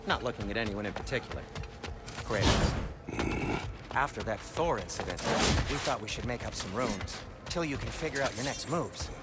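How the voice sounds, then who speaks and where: An actor playing a fussy, eager, fastidious dwarf craftsman in a video game, chatty and theatrical in manner. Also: lowish voice, animated, very noisy, natural voice. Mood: chatty, helpful. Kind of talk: video game character acting.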